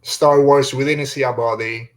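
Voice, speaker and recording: Male podcast host, medium pitch, informal and halting, leads a panel with questions. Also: fairly even intonation. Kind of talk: casual panel review discussion.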